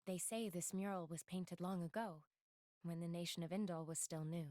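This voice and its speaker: A woman's voice: fairly low pitch, fairly even intonation, normal speed, warm tone, no room sound, clean studio sound, close to an everyday voice. An actress playing a polite, serene female guide in a video game, formal and composed. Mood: wistful. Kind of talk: video game cutscene voice acting.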